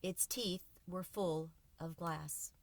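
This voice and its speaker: A woman's voice: fairly low pitch, steady tone, takes it slowly, no room sound. Woman reading as a shaken, earnest young man. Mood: somber.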